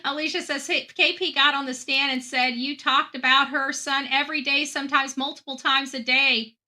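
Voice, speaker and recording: A woman's voice: high voice, flat delivery, normal speed, sharp tone. A woman in her forties giving impassioned, indignant off-the-cuff commentary, stressing points by repeating phrases. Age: 40s. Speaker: online commentator hosting a true-crime show.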